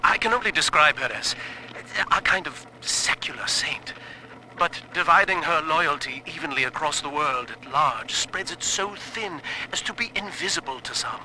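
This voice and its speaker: Sharp-voiced, troubled male scientist. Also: on the high side, expressive. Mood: wistful, bitter. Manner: precise, formal, deliberate. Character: troubled, erudite male scientist, reflective and devoted. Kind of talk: dramatic audio-diary monologue. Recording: bright, faintly noisy audio-log tape.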